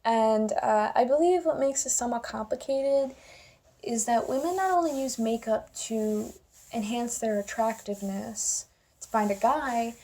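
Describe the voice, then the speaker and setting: A young woman with a fairly high voice that often drops into heavy creak. Her tone is mellow and dark-edged. She talks informally and wryly, as in an opinion vlog. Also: measured pace. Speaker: video commentator. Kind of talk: casual opinion vlog to camera.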